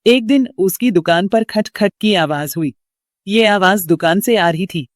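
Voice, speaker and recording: A woman's voice: mid-range voice, unhurried, no echo, clean studio sound. A woman reading a scripted story as its narrator.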